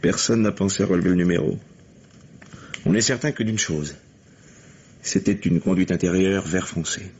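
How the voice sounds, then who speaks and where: An actor playing an earnest, methodical police lieutenant in a French mystery radio play, speaking deliberately. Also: male voice, sits low, steady tone, a little mellow, husky. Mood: matter-of-fact. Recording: muffled, hissy vintage radio recording.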